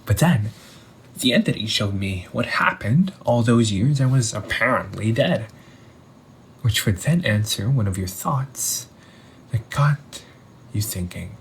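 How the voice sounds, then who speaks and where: Undead older brother, played by a man, audio drama: vengeful, wounded, mockingly loving, theatrical; unhurried delivery with big pitch swings. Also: fairly bright tone, slight background noise, heavily acted. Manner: theatrical, taunting, with drawn-out pauses and whispered words. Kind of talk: scripted audio roleplay monologue addressed to the listener.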